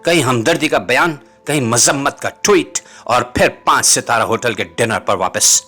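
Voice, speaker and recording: A man's voice: mid-range voice, lively intonation, normal speed, sharp tone, husky. A man reading scripted political commentary as a host, in a rhetorical, bitingly sarcastic manner. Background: music.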